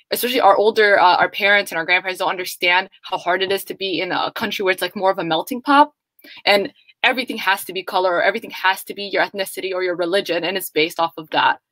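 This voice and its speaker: A woman's voice: mid-range voice, a bit bright, dry recording. A young woman whose first language is Somali, speaking earnestly as a panel speaker in long, run-on sentences. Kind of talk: earnest personal reflection.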